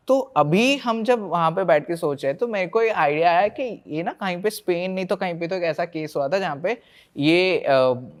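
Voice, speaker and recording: Indian guy on a podcast, kinda high voice, casual storytelling, slips between hindi and english. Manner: mixes Hindi and English, acts out reported dialogue. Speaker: paranormal investigator, podcast guest.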